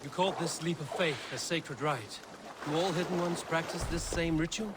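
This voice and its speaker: A man's voice: on the high side, normal speed, very noisy, lightly acted. A man playing a proud, blunt and wary Viking warrior in a video game, with a terse, wry delivery. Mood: sober, measured. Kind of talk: video game cutscene acting.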